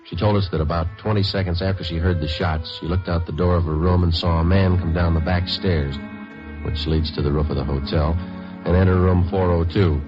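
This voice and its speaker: A terse detective played by a man narrates and questions in a very deep, fast, deadpan voice. He is methodical and clipped, in the manner of vintage radio crime drama. The sound is dull, like an old broadcast. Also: over music, close to an everyday voice. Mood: flat, procedural. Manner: clipped, deadpan, matter-of-fact. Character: terse, methodical male police detective who narrates his cases. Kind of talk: 1950s radio police drama acting.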